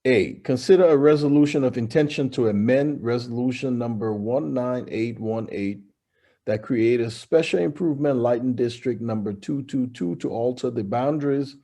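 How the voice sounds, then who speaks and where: A man's voice: mid-range voice, moderate pace, mellow. A man chairing a city meeting as mayor, brief and procedural.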